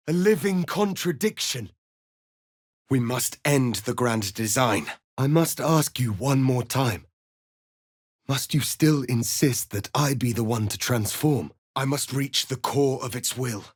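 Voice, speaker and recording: A man playing a proud, solemn alien warrior prince in a fantasy video game, speaking in a formal, commanding, deliberate way. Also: medium pitch, lively intonation, dry recording, clean studio sound. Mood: fierce, rousing. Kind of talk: dramatic fantasy game acting.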